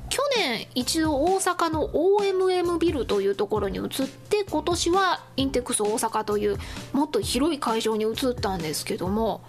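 A woman's voice: high-pitched, lively intonation, moderate pace. A woman hosting a solo radio show in a casual, chatty style, giving announcements to listeners. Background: music.

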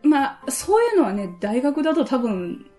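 A woman's voice: high voice, big pitch swings, normal speed, warm tone. A woman chatting casually as the co-host of a radio show. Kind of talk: casual chat. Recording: light music behind the voice. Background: background music.

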